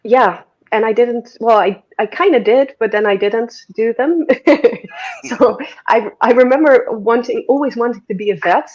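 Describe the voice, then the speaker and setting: A woman's voice: fairly high pitch, moderate pace, a little mellow, muffled recording. A woman with a Dutch accent, chatting as an interview guest, rambling with many fillers and restarts. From Netherlands. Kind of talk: casual interview conversation.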